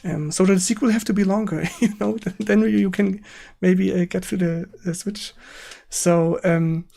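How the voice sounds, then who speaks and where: A man with a German accent hosting a casual livestream interview, haltingly searching for his words in English as a non-native speaker. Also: high voice, steady tone, vocal fry, dark tone. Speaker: stream host interviewing a guest.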